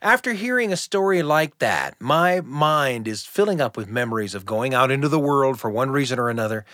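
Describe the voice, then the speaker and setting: A man's voice: medium pitch, fairly bright tone, no echo. A man hosting a storytelling radio show, moving between scripted links and studio chat on a close mic.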